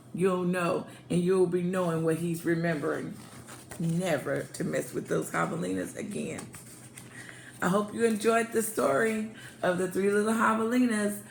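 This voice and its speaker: Female read-aloud storyteller, slow and expressive, engaging young listeners, roomy sound with slight background noise. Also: medium pitch, vocal fry. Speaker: storyteller reading aloud to children. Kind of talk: children's picture book read-aloud. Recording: echoey room with faint background noise.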